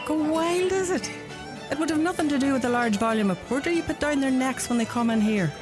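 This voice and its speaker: A woman's voice: sits high, fairly quick, music in the background, close to an everyday voice. A woman playing a sardonic publican's daughter behind the bar, with a Donegal Irish accent and dry, cutting delivery, in a radio play. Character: sharp-tongued barmaid, publican's sardonic daughter.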